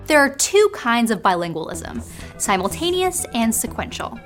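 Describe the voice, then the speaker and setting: A woman's voice: fairly high pitch, very animated, normal speed, a bit bright, faint music behind. A woman hosting a science show, narrating in a scripted, explanatory way. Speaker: host.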